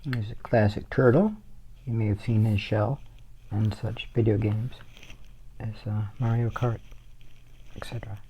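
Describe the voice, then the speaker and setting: A man's voice: fairly low pitch, steady tone, unhurried, pauses often, dark tone, highs cut off, slight background noise. A man giving a casual drawing tutorial as a guest artist, rambling with jokey asides as he works.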